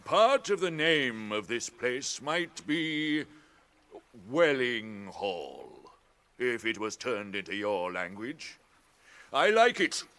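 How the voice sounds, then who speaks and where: An actor playing an ancient, kindly tree-giant in a British radio drama, ponderous and musing, with old-fashioned phrasing and a temper that can be stirred. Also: male voice, medium pitch, very animated, slow pace, fairly bright tone, heavily acted. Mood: kindly, unhurried.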